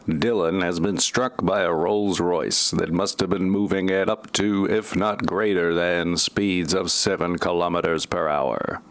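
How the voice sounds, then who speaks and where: A man with a low voice reads scripted narration at a slow pace. He sounds pompous and deadpan, as mock-serious narrator of a comedy audio play. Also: no room sound.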